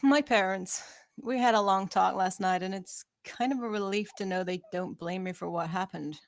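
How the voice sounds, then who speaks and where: A woman's voice: mid-range voice, moderate pace, no room sound, natural voice. A woman acting a troubled, earnest young woman, dry sound. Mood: helpless, guilty. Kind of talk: informal online screenplay table read.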